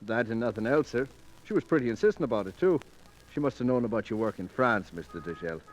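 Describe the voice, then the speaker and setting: A man's voice: mid-range voice, expressive, fast talker, close to an everyday voice. An actor playing a plain-spoken, folksy gallery doorman in 1940s radio drama style. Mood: deferential. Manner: folksy, matter-of-fact. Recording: noisy 1940s radio recording.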